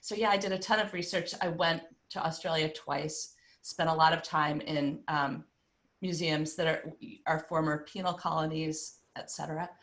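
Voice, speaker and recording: A woman's voice: deep voice, steady tone, moderate pace, fairly bright tone. A woman from England, an author, talking fluently and expansively in a panel conversation. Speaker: author guest.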